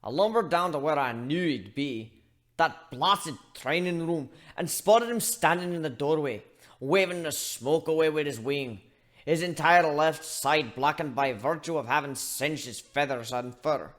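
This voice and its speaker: A man voicing a gruff, grumbling pirate shipbuilder with rough colloquial speech, in a dramatic reading. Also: on the high side, measured pace, fairly bright tone. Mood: exasperated. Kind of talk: dramatic reading of fan fiction.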